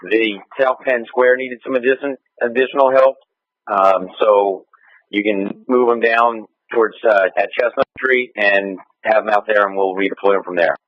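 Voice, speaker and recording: A man's voice: fairly low pitch, fairly even intonation, normal speed, no echo. A man working as a police officer in the field, giving informal operational updates over radio. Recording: dull lo-fi police scanner radio.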